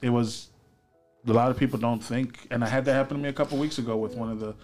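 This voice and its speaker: A young man from a Haitian family raised in America, co-hosting a podcast in relaxed chat, swearing often and trailing off with ums. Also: medium pitch, fast, soft background music. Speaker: podcast co-host. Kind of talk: casual chat.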